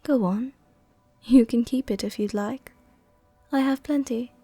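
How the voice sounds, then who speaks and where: A woman playing a worldly, softly dominant vampire countess in an intimate roleplay ASMR, with a soft, gentle, tenderly commanding way of speaking. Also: fairly high pitch, measured pace, no room sound, faint music behind. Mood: tender, comforting.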